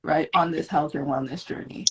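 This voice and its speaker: A woman from Jamaica, a guest on a live stream, giving calm, encouraging wellness advice. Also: low-pitched, low-quality recording. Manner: calm and measured, with warm encouragement and "um" pauses.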